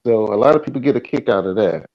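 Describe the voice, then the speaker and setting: A man's voice: lowish voice, animated, quick pace, highs cut off. A man, hosting a casual live chat stream in an easygoing, folksy way. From Virginia, USA.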